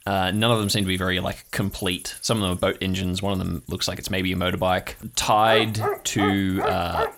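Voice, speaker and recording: A man's voice: low voice, flat delivery, moderate pace, creaky, few pauses, fairly bright tone, a little hiss or hum. A man narrating as game master in an improvised tabletop story, describing scenes in an informal, conversational way.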